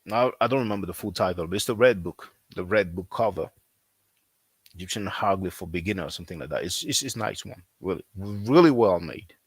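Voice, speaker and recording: A man's voice: low-pitched, expressive, a little fast, breathy edge, no echo. Dry-sounding man, non-native English speaker. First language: not English. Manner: repetitive, conversational, frequent fillers. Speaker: language teacher. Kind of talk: casual promotional talk.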